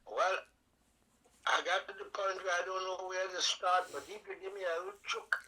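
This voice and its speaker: An elderly man with a Trinidad and Tobago accent and a strikingly bright, high voice. He tells stories in a rambling, folksy way full of dialect grammar and blessings, sharp and ringing in tone. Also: very animated, moderate pace, creaky, low-quality recording. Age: older. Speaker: guest, veteran and calypso singer. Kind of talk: casual interview storytelling.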